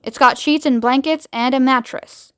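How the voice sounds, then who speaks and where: Woman as an eager, high-pitched male astronaut. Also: steady tone, normal speed, no room sound, studio quality, natural voice. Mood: earnest. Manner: earnest, fawning. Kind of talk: children's audiobook character voice.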